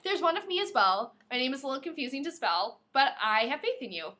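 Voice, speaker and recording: An enthusiastic woman with a bright, forward voice pitched a little high. She co-hosts a podcast and gushes in a casual, chatty way. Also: big pitch swings, quick pace. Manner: enthusiastic, gushing. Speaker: podcast co-host. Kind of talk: casual book-discussion chat.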